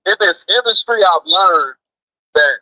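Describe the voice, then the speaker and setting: A man's voice: high voice, lively intonation, a little slow, pushed and bright, no room sound, low-quality recording. An American man phoning into a show, chatting casually and telling stories in slangy, emphatic, repetitive phrases. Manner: slangy, emphatic, repeats himself. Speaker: phone-in guest, food truck cook. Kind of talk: casual chat, storytelling. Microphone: phone call.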